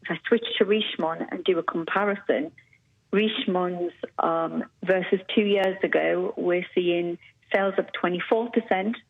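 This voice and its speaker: A woman's voice: on the low side, fairly even intonation, normal speed, gravelly. A woman analyst answering interview questions in an analytical way, full of figures and with frequent "um"s, over the phone. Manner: analytical, numbers-heavy, with "um"s. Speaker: senior luxury analyst, guest. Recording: tinny phone line.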